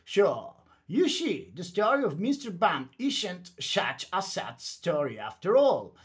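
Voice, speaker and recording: A man's voice: sits high, very animated, slow pace. A man reading a children's story aloud in a theatrical, emphatic bedtime read-aloud style.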